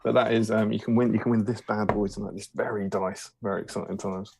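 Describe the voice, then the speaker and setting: A man's voice: lowish voice, little pitch movement, quick pace, vocal fry, darkish tone, slight background noise. A man hosting a casual live stream, joking and rambling with lots of fillers. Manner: jokey, rambling, full of fillers. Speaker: host.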